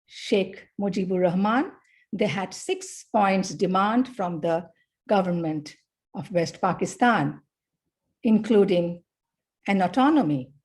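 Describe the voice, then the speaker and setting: Slow, measured Bangladeshi-born woman speaker. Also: mid-range voice, a little mellow. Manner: slow, measured, with pauses between phrases. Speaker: academic speaker. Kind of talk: conference talk.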